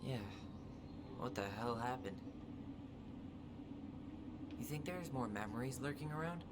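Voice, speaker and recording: A man's voice: mid-range voice, lively intonation, a little slow, lightly acted. A man playing an earnest, fair-minded young man in a naturalistic video game cutscene. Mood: amazed, wondering. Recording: clearly noisy game stream, ambience.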